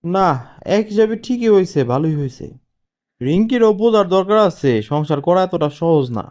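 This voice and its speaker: Sentimental elder brother for a family cartoon played by a man, fairly high voice, earnest consoling manner, Bangladeshi Bengali accent. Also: expressive, brisk, darkish tone. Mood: reassuring.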